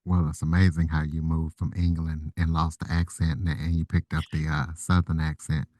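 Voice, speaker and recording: Very deep, flat-voiced male host. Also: normal speed. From Louisiana. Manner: short, plain questions and prompts. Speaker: podcast host. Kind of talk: casual interview chat.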